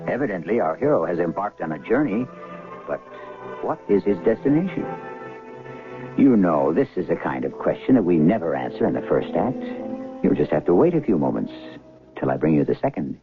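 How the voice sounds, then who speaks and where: Deep voiced guy hosting old radio mystery, theatrical ominous narration, big swings in pitch, music behind. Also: very animated, brisk. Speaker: host and narrator of radio drama series. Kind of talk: dramatic scripted narration. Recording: narrow-band old radio broadcast recording.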